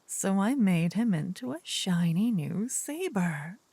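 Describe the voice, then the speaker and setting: Slow, breathy demon queen, acted by a woman. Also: medium pitch, sing-song, warm tone, no echo, full character voice. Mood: smug, explaining. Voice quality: breathy, husky, often whispering. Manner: slow, teasing, doting menace. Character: seductive, possessive evil demon queen, ancient and smug. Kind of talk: fantasy ASMR roleplay, whispered and intimate. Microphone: close, dry microphone.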